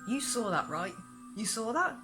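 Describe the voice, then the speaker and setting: A woman voicing an alarmed, blunt female warrior in a dark fantasy audiobook. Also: a little high, very animated, normal speed, voice pushed up. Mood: horrified. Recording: music behind the voice.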